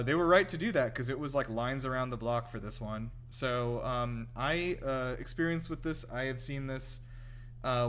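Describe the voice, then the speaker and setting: A man's voice: mid-range voice, fairly bright tone, a little hiss or hum. A man hosting a casual film commentary chat, rambling and somewhat hesitant as he talks. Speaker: podcast host.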